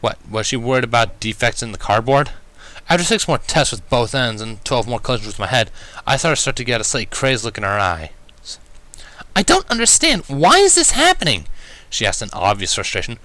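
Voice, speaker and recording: A man reading fan fiction aloud as an expressive first-person story narrator. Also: mid-range voice, fast, dry recording, poor audio.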